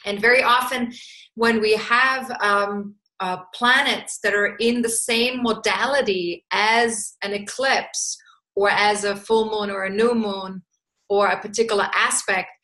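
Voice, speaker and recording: Bright-voiced woman teaching a webinar. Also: medium pitch, steady tone, unhurried, rough recording. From Austria. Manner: chatty, asks "right?" often, reads out attendees' answers. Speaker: astrologer and coach.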